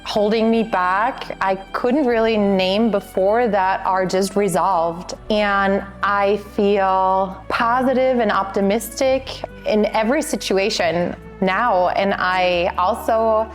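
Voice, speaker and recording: Woman, mid-pitch, upbeat testimonial, faint music under her. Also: steady tone, takes it slowly. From Germany. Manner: sincere, upbeat. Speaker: workshop participant. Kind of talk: testimonial interview.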